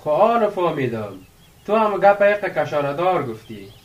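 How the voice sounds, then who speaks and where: A man's voice: on the high side, very animated, distant mic, lo-fi sound. An actor playing a curious, impatient young village man who prods his friend conversationally in a radio drama. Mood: curious, prodding. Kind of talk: radio drama acting in dialogue.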